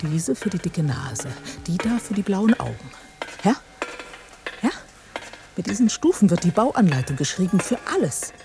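A woman's voice: fairly low pitch, very animated, normal speed, gravelly, music in the background. An actress playing an intense, patronising woman in a German radio drama, explaining science in a coaxing, didactic way. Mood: coaxing, secretive.

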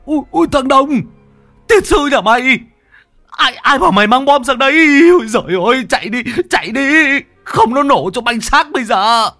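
A man voicing a frantic old village man who curses and shouts shrilly in panic. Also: very high-pitched, expressive, moderate pace, faint music behind, pitched above the performer's own voice, exaggerated voice. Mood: panicked.

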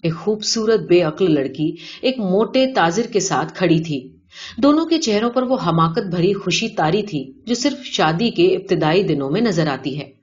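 A woman's voice: lowish voice, lively intonation. Woman narrating a story, muffled lo-fi recording. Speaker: audiobook reader of a short story. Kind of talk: scripted literary story narration. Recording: dull, lo-fi sound.

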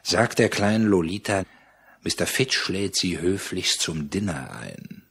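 An actor playing a rich, domineering tea planter in a radio play, laconic and sardonic. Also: male voice, deep voice, animated, measured pace, a bit bright, breathy edge, heavily acted. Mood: casually threatening. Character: rich, cold, lazily menacing male tea planter. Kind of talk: German radio play acting.

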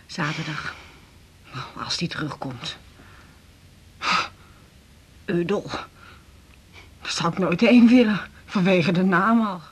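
A woman's voice: on the low side, slow, pauses often, slightly rough, full character voice. An actress playing a hurt, bitter older wife and mother in a radio drama, plaintive and indignant.

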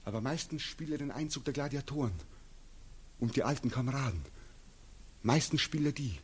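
A man's voice: medium pitch, expressive, normal speed. An actor playing a tormented returning soldier in a 1940s radio drama, theatrical and obsessive as he recounts a nightmare. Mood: haunted, brooding. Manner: theatrical, obsessive, swinging from hushed murmurs to frenzied cries. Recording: muffled, husky 1940s radio recording.

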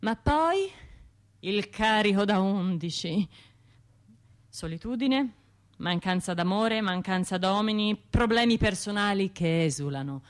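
An actress with a Tuscan accent playing a wounded, self-reproaching bombing survivor in an intimate radio drama monologue, halting and colloquial. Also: female voice, mid-range voice, animated, lightly acted. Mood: defensive, troubled. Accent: Tuscan Italian. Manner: halting, colloquial, shifting between murmurs and outbursts.

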